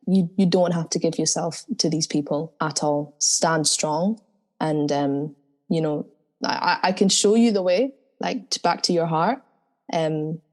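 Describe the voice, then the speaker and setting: Deep-voiced earnest woman singer. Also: darkish tone. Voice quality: deep, soulful voice. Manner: earnest, spiritual. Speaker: guest singer. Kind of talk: reflective interview talk.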